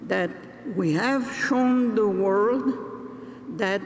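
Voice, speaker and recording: An older woman from Brazil giving a graduation address, speaking in a deliberate, halting way with pauses and restarts. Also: mid-range voice, darkish tone. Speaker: commencement speaker. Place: large ceremony venue. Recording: reverberant hall with faint noise.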